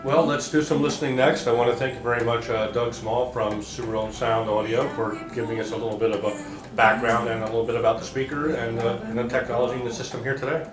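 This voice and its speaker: A man interviewing casually as an audio show reporter, speaking in an appreciative, halting way. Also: mid-range voice, steady tone. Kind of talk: casual unscripted interview and commentary. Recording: roomy echo, music in background.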